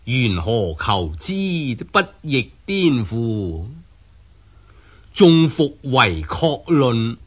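Male narrator for classical recitation, husky tone, lively intonation. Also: mid-range voice, extremely slow. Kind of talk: slow dramatic recitation of a classical text.

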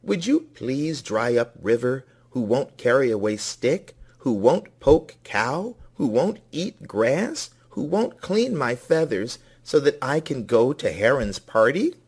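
A man's voice: medium pitch, sing-song, slow pace, few pauses, breathy edge, exaggerated voice. A man voicing a vain, pleading storybook rooster who calls out and begs insistently.

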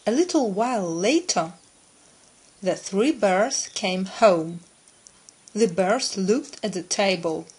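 Slow-paced woman reading a children's story. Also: mid-range voice, animated, darkish tone. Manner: deliberate, clearly phrased for learners. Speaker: storyteller for English learners. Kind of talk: scripted children's story narration.

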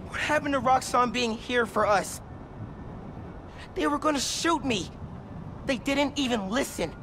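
A man's voice: extremely high pitch, unhurried, gravelly, background noise, heavily acted. A young man playing an earnest, anxious teenage boy superhero in a naturalistic video game cutscene. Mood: upset, indignant. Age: young adult.

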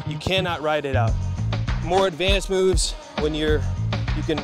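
A man's voice: fairly high pitch, a little slow, over music. A man explaining a training device in a loose, conversational way with fillers. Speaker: trick instructor. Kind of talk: casual explanation.

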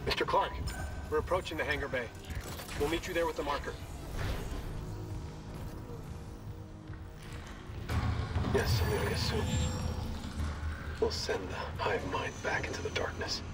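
Older doctor, unhinged zealot, formal, fervent, distant game call, loud music. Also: male voice, on the high side, sing-song, moderate pace, pauses often. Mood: solemn.

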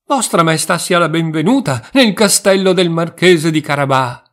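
A man voicing a sly, flattering male cat in a storybook reading, pitched up and fawning. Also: moderate pace. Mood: welcoming.

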